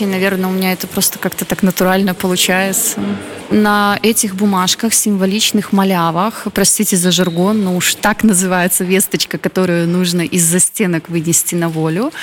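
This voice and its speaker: A woman's voice: mid-range voice, a little hiss or hum. Earnest woman artist, heartfelt and reflective. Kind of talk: radio interview answers.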